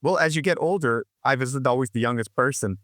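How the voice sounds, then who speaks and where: A man's voice: mid-range voice, fast talker, fairly bright tone, no room sound, studio quality. A man in his sixties, a musician guest talking reflectively in an interview, rambling with restarts and "you know, like". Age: 60s.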